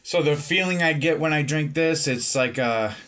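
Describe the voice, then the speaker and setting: A man in his thirties casually reviewing a beer at home, relaxed and filling pauses with "um" and "uh", recorded on a webcam or phone at his desk. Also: a little high. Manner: relaxed, many fillers and pauses. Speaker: beer reviewer, channel host. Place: home room with bookshelves, indoors.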